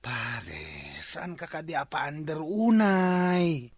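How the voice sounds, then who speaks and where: Actor as a blustering Ilocano village husband, swooping pitch. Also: male voice, sing-song, slow, slightly rough, no room sound, exaggerated voice. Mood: mocking. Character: slack, boozy village husband living off his wife's remittances. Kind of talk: comedy skit acting.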